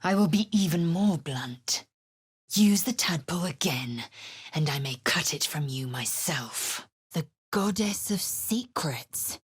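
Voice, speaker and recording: An actress playing a fierce, haughty young female alien warrior in a video game, with a raspy voice and clipped, imperious, disdainful delivery. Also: low-pitched, lively intonation, takes it slowly, exaggerated voice. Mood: cold, threatening, then terse, scornful. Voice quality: raspy, husky. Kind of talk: video game character acting.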